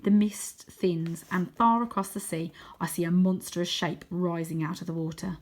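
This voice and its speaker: A woman narrating a children's adventure book in an expressive, dramatic way, sometimes hushed for suspense. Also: on the low side, normal speed. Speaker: story reader.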